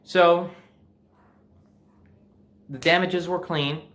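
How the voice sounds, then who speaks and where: A man's voice: high voice, fairly even intonation, drawn-out words, a bit bright. Young American man telling a story casually. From New Jersey, United States. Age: young adult. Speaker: storyteller, host.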